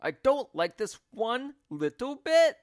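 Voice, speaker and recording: A man's voice: very high-pitched, very animated, slow pace, dry recording, studio quality, voice pushed up, full character voice. A man voicing a nasty, hysterical wife from a children's storybook, screechy and scolding. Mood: panicked.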